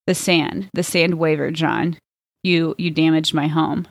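A woman voicing an intense, anguished male detective in a story reading, halting and emphatic. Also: low voice, steady tone, dry recording, studio quality. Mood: anguished, angry.

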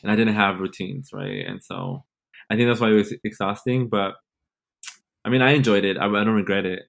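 Low-voiced, playful young man chatting. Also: sing-song, a little fast, gravelly. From born in Mexico, grew up in Los Angeles and Nashville. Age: 20s. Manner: informal, playful, slangy, peppered with "like". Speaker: podcast guest, teacher. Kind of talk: casual conversational interview.

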